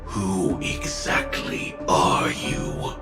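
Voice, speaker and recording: An actor playing a grim, fatalistic armored warrior in a video game, speaking in solemn, cryptic declarations. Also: male voice, low-pitched, drawn-out words. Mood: cold, probing. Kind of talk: dramatic video game voice acting. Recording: echoey voice over background music. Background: music.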